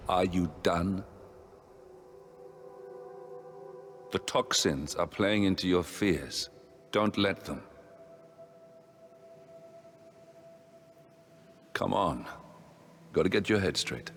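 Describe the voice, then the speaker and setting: An urgent, steadying comrade from a video game. He sounds low and direct, pressing his point over faint music. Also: unhurried, fairly bright tone. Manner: insistent, direct. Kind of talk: scripted video game acting.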